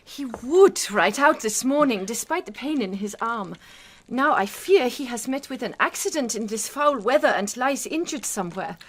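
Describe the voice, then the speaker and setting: A woman's voice: a little high, lively intonation, normal speed. An actress in a period radio drama playing an anxious, kindly eighteenth-century farmer's wife, polite and earnest in her speech. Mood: anxious, solicitous.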